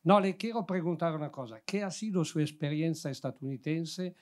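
Older Italian man with a higher voice. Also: normal speed, a little mellow. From Italy. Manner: rambling, searching for Spanish words. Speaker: audience member asking a question. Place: outdoor audience seating. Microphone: handheld mic.

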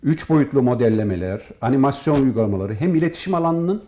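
A man giving formal, measured interview answers as an academic guest, as if from prepared notes. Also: medium pitch, animated, dark tone. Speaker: professor and department head, interview guest.

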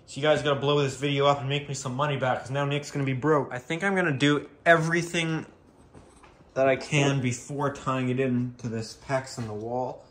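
A man's voice: medium pitch, steady tone, a little fast, a bit bright. Casual rambling man in an echoey room. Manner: rambling, informal, thinking aloud. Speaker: DIY video host. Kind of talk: casual unscripted show-and-tell. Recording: echoey room, faint background noise.

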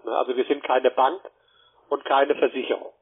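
A man giving a wordy telephone sales pitch, often adding a short checking question. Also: level tone, moderate pace, slightly rough. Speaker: telemarketer. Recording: muffled narrowband phone line.

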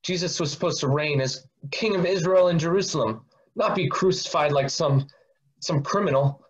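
A man's voice: fairly high pitch, normal speed, close to an everyday voice. A man acting as an impulsive disciple full of remorse, confessing in a dramatic reading. He halts at times, and the sound is slightly muffled, like a video call. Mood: ashamed. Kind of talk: amateur dramatic monologue reading.

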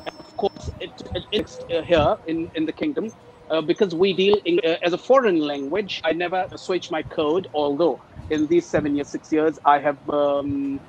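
A man whose first language is Urdu, an ESL teacher speaking over a video call in a halting, hesitant way. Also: on the high side, steady tone, lo-fi sound, background noise. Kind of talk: panel discussion. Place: teaching abroad.

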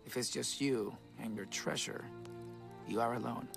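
An actor voicing a naive, earnest young fairy-tale prince with a dramatic, boyish manner in an animated comedy film. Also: male voice, mid-range voice, moderate pace, creaky, over music, close to an everyday voice. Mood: solemn, theatrical. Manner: dramatic, self-serious, boyish.

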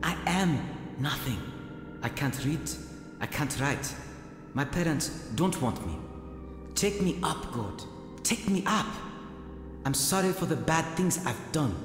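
An actor playing an earnest young southern African convert turned preacher in a radio drama. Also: male voice, a little high, lively intonation, slow, pauses often, over music. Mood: desperate, pleading.

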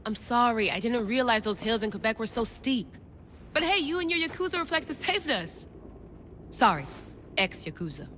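An actress playing a confident, wry young tech-savvy field agent in a video game. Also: female voice, fairly high pitch, expressive, moderate pace, fairly bright tone, slight background noise. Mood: wry, earnest. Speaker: game character, lead. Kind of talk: video game cutscene acting.

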